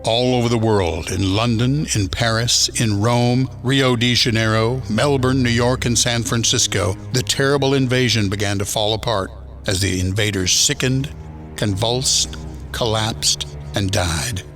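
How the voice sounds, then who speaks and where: A male actor is the grave storyteller of a science fiction radio drama. His mid-range voice moves in deliberate phrases. Clear music plays beneath him. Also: medium pitch, fairly bright tone. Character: solemn male narrator of a world-ending science fiction tale. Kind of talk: dramatic scripted narration.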